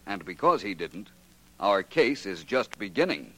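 An actor playing a composed, analytical detective in a 1940s radio drama, with measured, assured delivery. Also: male voice, fairly low pitch, sing-song. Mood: assured explaining. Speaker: lead actor in a detective series. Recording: noisy old radio recording with hum.

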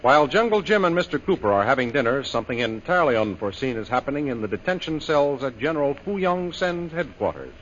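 A man's voice: medium pitch, sing-song, quick pace, few pauses, a bit bright, slight background noise. A man announcing and narrating a 1930s radio adventure serial in an emphatic, declamatory way. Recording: muffled 1930s radio broadcast.

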